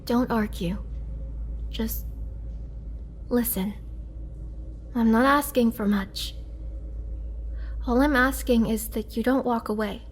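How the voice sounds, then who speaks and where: A woman playing a lonely, wry immortal vampire prisoner who looks young, in a halting, dramatic roleplay style. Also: on the high side, slight background noise. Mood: pleading, lonely. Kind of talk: dramatic girlfriend roleplay audio acting.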